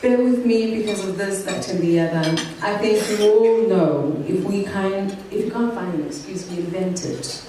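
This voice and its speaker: A South African woman giving a keynote speech at an awards evening, measured, earnest and reflective. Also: mid-range voice, noisy background. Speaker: keynote speaker. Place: event venue. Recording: echoey hall from far off.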